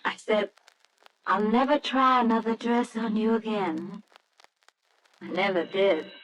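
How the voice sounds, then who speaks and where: An actress playing a grieving wife and mother in 1940s radio drama style, speaking in a measured, restrained, plaintive way. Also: mid-range voice, steady tone, lightly acted. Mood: weary, sad. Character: sad, weary grieving wife and mother, quietly resigned. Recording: noisy old radio drama recording.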